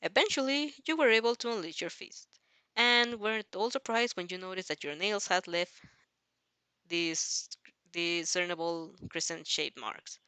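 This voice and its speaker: A woman reading a dramatic fan fiction story aloud as narrator, halting now and then over the text. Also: fairly low pitch, bright, forceful tone, clean tone, no echo. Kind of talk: dramatic audio story narration in second person.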